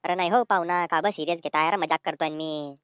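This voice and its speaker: Woman voicing young cartoon village man, cheeky, suspicious, rapid talker, rural Ahirani accent, dry sound. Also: on the low side, steady tone, fairly bright tone, smooth, no room sound, close to an everyday voice. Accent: Ahirani, rural north Maharashtra. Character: cheeky young unmarried village brother-in-law, suspicious, quick-tongued. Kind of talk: comedy cartoon dialogue.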